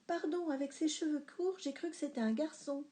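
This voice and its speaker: A woman reading a storybook, voicing a flustered waitress who gets fed up and shrill. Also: brisk, dark tone, natural voice. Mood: puzzled. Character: fed-up fast-food waitress, flustered and snappish.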